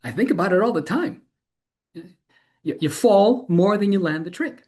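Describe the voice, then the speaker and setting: Actor as enthusiastic college instructor, mid-range voice, very lively intonation, rambling conversational monologue, self-interrupting. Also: medium pitch, normal speed, over music, close to an everyday voice. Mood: reflective, eager. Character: enthusiastic, informal university instructor devoted to students.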